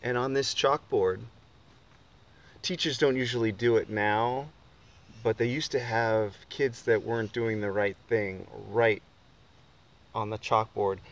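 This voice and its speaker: A man in his twenties reading a picture book aloud to children in an expressive, deliberate way. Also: fairly low pitch. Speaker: storybook reader. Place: indoors, against a plain dark wall.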